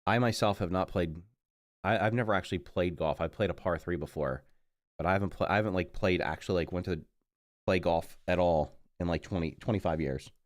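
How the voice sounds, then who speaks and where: A middle-aged man with a deep, congested voice chats casually to his livestream audience. He rambles and trips over his own sentences. The sound is dry and close, with no room around it. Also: brisk, dry recording. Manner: rambling, self-correcting, mutters while busy. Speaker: livestreaming gamer. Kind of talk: casual live stream chat.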